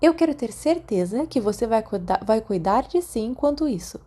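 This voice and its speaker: Storybook role: caring Brazilian matron nurse, voiced by a woman narrator, gentle, attentive, raised mellow pitch. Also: animated, normal speed. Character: kindly, caring female school nurse.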